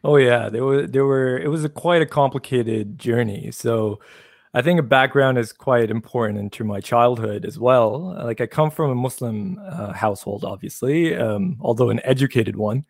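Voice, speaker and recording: A man from Pakistan, a guest telling his life story in a casual interview, measured and thoughtful with many fillers. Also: mid-range voice, vocal fry, dry recording, studio quality.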